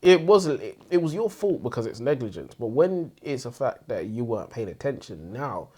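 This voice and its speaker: A man's voice: medium pitch, sing-song, moderate pace, a little mellow, husky. Sweary bloke poking at his co-host about books, sardonic, really up and down delivery. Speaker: book review co-host. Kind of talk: casual banter and debate.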